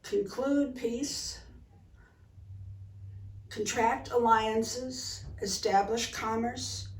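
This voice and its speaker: A woman reading a historic document aloud in a solemn, deliberate, measured way. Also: fairly high pitch, fairly even intonation. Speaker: public reader at a commemorative event. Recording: roomy echo, distant microphone.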